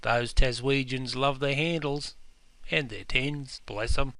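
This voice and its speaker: A man plays a gruff Australian publican who corrects every order in thick ocker slang. His voice is bright and smooth. It is recorded close and dry, with no room sound. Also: mid-range voice, steady tone, slow pace, dry recording. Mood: scolding. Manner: broad ocker slang, scolding banter. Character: gruff, pedantic Aussie publican, quick to correct. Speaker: publican character. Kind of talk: scripted comedy dialogue.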